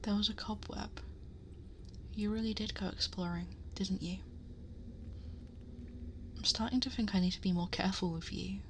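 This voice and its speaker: A woman playing a protective, possessive dragon girl in an ASMR roleplay, speaking softly and breathily, often in whispers, in an intimate, gently scolding and fussing way. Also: medium pitch, steady tone, moderate pace, pauses often, slight background noise, close to an everyday voice. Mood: tender, fond. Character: possessive, worried, protective female dragon girl guarding her hoard. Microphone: close binaural microphone.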